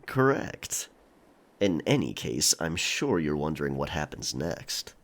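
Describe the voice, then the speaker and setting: An actor playing a stern pirate quartermaster in a historical romance audio drama, brusque, controlled and threatening, yet reasonable. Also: male voice, very deep voice, very animated, unhurried, exaggerated voice. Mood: matter-of-fact.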